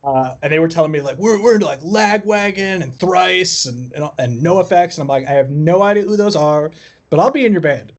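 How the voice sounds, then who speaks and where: A man with a slightly high voice tells stories about himself in a casual interview. He comes across as wry and self-deprecating, talking informally as a musician guest would. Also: lively intonation, a little fast. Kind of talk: casual chat, personal storytelling.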